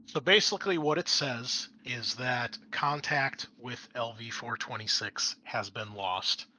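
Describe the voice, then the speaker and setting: A man narrating a tabletop game as game master in a casual, improvised way, often halting to think aloud. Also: mid-range voice, pushed and bright, low-quality recording. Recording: clear background noise.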